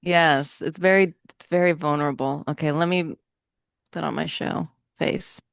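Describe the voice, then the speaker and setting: A woman co-hosting a podcast in casual chat, enthusiastic and playful, quick to cheer her partner on. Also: deep voice, steady tone, vocal fry. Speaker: podcast co-host.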